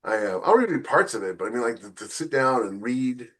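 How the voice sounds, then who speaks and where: A man co-hosting a casual authors' podcast, speaking at a medium pitch. He is conversational and wry, leaning on words for emphasis as he makes his case. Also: very animated, brisk. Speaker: novelist and podcast co-host.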